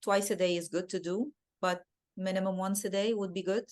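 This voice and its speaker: A woman from Egypt with an Egyptian Arabic accent, a native Arabic speaker, answering interview questions in a soft, reflective way and often repeating words as she searches for them. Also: mid-range voice, steady tone, a little fast. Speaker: guest.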